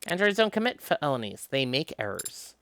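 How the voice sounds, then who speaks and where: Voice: medium pitch, big pitch swings, normal speed, a bit bright, a little hiss or hum. A streamer voicing a precise, earnest android. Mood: calm, curious. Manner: formal, precise wording. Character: earnest, literal-minded android assistant, politely eager.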